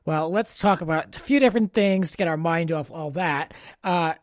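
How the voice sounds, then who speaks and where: A man's voice: on the high side, normal speed, dry recording, clean studio sound. A man hosting a podcast in casual chat, upbeat and informal, often saying "like". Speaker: podcast host.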